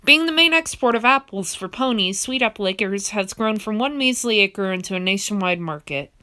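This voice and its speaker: Smooth-voiced woman narrating a comic. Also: mid-range voice, lively intonation, moderate pace, sharp tone. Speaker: fan comic dub narrator. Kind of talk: first-person storytelling narration.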